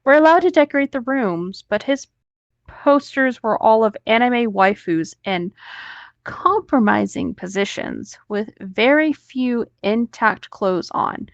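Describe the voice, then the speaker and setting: A woman's voice: on the high side, animated, measured pace, dry recording, lo-fi sound. A woman host reading an online story aloud, breaking off now and then into casual asides.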